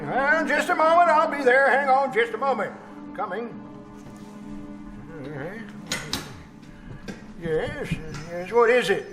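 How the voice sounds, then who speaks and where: Man acting a high-voiced, shifty smarmy gentleman. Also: expressive, slow pace, pauses often, heavily acted. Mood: fussy, businesslike. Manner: hesitant, chuckling, over-polite, flustered delivery. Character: smarmy, shifty male employer with sinister, fussy politeness. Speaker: amateur radio troupe actor. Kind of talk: old-time radio drama acting. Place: live performance at a winery. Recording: live stage performance with music.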